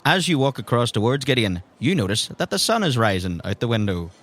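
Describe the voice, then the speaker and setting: Bright-voiced male game master. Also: mid-range voice, big pitch swings, fairly quick. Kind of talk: narrating a tabletop game.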